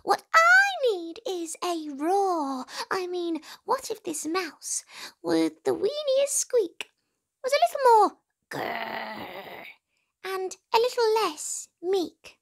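A woman voicing a tiny, meek male storybook mouse, squeaky, polite and hesitant but eager. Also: sing-song, slow, gravelly, fairly bright tone, full character voice. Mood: determined.

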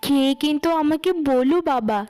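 A gentle young woman with a high voice , acted by a woman, the dutiful youngest sister of a cartoon tale. She is forgiving and speaks soothingly, almost pleading. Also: a little fast, lightly acted. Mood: affectionate. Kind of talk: cartoon story acting.

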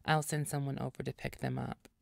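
A woman voicing an imperious vampire boss in an audio roleplay, commanding, condescending and deliberate. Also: rumbling low, very animated, vocal fry, darkish tone, dry recording, studio quality, full character voice. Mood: cool, commanding.

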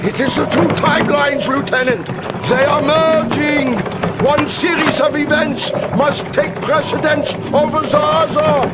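An older scientist in a game cutscene. His voice is very high and emphatic, excitable and dramatic, under loud music. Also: a little slow, poor audio. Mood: alarmed. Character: excitable older male professor, grave scientist.

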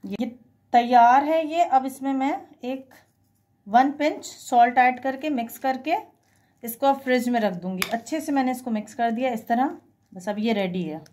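A woman's voice: high voice, moderate pace, a little mellow. A woman presents a home recipe step by step, conversational and instructional, mixing in English words. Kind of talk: step-by-step cooking tutorial voice-over.

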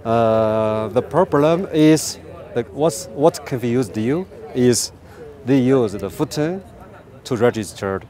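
Male company representative, thirties, Chinese accent, soft-edged mellow tone, unscripted outdoor interview with halting repeated phrases. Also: medium pitch, lively intonation. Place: outdoors beside a parked truck.

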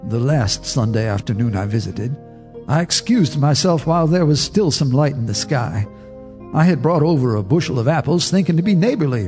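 An actor narrating as an old neighbor recalling dark events, grave and measured, in a radio drama. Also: male voice, mid-range voice, lightly acted. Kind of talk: scripted storytelling narration.

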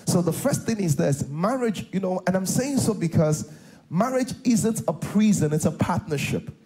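A middle-aged Nigerian pastor preaches from a big church stage, his voice pitched fairly high yet warm and mellow in tone. He hammers home phrases by repeating them and tosses questions out to the crowd for a reply, heard through a close headset mic. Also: expressive, moderate pace, poor audio. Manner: repetitive, call-and-response preaching. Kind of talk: sermon.

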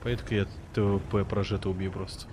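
A man streaming a game live, chatting with viewers in a rambling way and reacting loudly to the action. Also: fairly low pitch, fast, heavy background noise. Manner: excitable, rambling, swears, jumps between chatting and reacting to the game. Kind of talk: live gameplay commentary and chat.

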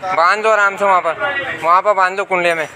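A man's voice: sits high, steady tone, brisk. A young man vlogging at an outdoor cattle market, coaxing and directing helpers as a cow is unloaded. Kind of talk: casual vlog commentary. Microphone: phone camera held by the speaker. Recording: noisy outdoor phone recording.

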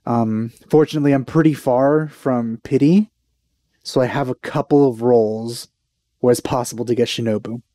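A young man talks informally to his viewers as a reaction host. His voice is mellow and dry, picked up close on a headset. He sounds enthusiastic while keeping it casual. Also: mid-range voice, steady tone, normal speed, dry recording. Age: young adult. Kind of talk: casual chat reacting to a game trailer. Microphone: headset microphone.

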